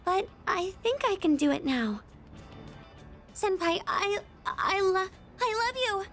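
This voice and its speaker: A timid but excitable high-school girl from an anime-style game. The voice sits extremely high and halts and gushes in earnest. Soft music plays behind it. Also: heavily acted. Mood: nervous, earnest. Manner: hesitant, halting, gushing.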